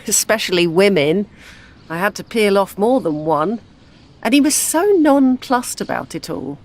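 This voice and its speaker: A woman's voice: medium pitch, animated, a little slow. An actress with a British accent playing a grieving older widow and mother, wry and devoted, in an intimate audio drama. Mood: fond, wistful.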